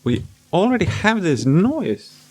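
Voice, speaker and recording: A man's voice: sits high, big pitch swings, a little slow, darkish tone, breathy edge. A man hosting a casual experimental music vlog, thinking aloud in a playful, exclamatory way. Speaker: video host and musician experimenting with audio gear.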